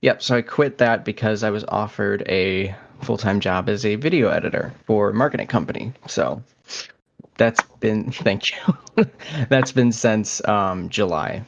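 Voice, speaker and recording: A man in his twenties co-hosting a casual podcast, talking in a low-key, somewhat hesitant way. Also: a little slow, vocal fry. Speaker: podcast co-host. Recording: dull, lo-fi audio.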